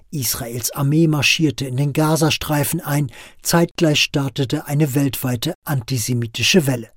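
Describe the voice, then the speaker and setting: A man narrating a radio news feature in a measured, scripted reporting style. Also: mid-range voice, no echo, clean studio sound. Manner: measured, even reporting delivery.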